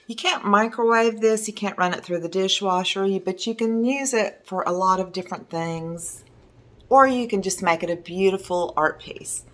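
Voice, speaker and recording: A middle-aged woman with a hoarse voice, chatting casually as a resin art teacher while showing her work at home. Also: medium pitch, normal speed, fairly bright tone. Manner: chatty, enthusiastic, meandering. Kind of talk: casual video talk showing artwork.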